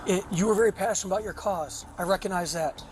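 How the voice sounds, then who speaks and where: Hoarse police officer, quick talker, calm and patient, noisy street. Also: mid-range voice. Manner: calm, patient, keeps trying to be heard. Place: outdoors on a street. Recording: bodycam audio with loud street noise.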